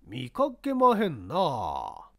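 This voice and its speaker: A friendly middle-aged Kansai noodle-shop owner, played by an audiobook reader. He drawls along at a slow, easygoing pace in a medium pitch. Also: wildly swooping pitch, vocal fry, full character voice. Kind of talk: audiobook character acting.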